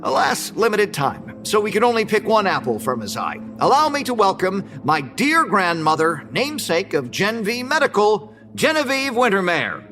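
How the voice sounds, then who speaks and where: An actor playing a slick, showy male presenter in an audio drama, grandiose, with dramatic pauses. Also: high voice, big pitch swings, sharp tone, faint music behind, heavily acted. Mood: breezy showman. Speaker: event presenter. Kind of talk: theatrical audio drama, keynote showman.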